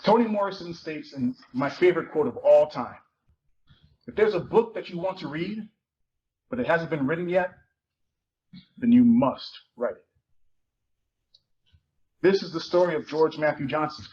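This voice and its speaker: A man's voice: on the high side, lively intonation, normal speed, pauses often, a little mellow. A man reading a memoir aloud in a dramatic reading at a live event. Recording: muffled, noisy live event recording.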